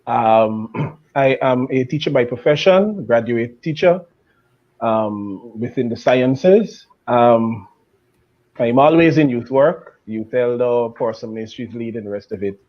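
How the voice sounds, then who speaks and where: A man from Guyana, speaking in a measured way with fillers as a panelist. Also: mid-range voice, lively intonation, highs cut off. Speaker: panelist, teacher.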